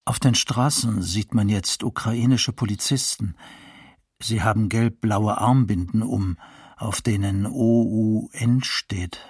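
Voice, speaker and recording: Raspy, sombre male eyewitness. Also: lowish voice, darkish tone, no echo, studio quality, far from the mic. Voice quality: very raspy, creaky. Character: sombre male Kiev resident recalling the invasion. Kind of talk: radio docudrama testimony.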